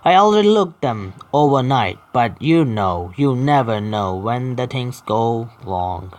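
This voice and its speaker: A man hosting a gaming video, reading story text aloud haltingly with stumbles and adding casual asides. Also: mid-range voice, lively intonation, a little mellow. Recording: faint game music behind. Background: faint video game music.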